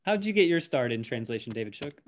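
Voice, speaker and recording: A man moderating a panel over a video call talks quickly in a chatty way. He moves briskly from his own story to his next question. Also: medium pitch, big pitch swings, a little hiss or hum.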